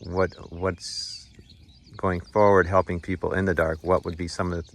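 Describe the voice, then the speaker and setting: Very deep, gravelly-voiced male interviewer. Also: level tone. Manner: halting, rephrases his questions. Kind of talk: casual interview questions.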